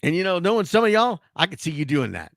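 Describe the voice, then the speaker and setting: A man hosts a sports talk show in a hoarse voice, his pitch swinging up and down as he rants. He restarts his sentences often. The sound is dry and close. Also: fast, dry recording. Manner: animated, ranting, with frequent restarts. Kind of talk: casual sports talk commentary.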